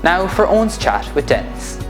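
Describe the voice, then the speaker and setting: Teen presenter, scripted intro read over clear music. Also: medium pitch, sing-song, normal speed. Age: teens. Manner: reading a script evenly.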